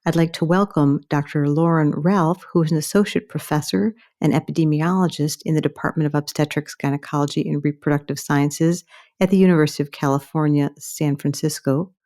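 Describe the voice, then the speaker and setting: A woman's voice: sits low, fairly even intonation, fairly quick, dark tone. A middle-aged American woman hosting a formal interview as a medical editor. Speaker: podcast host and medical journal editor.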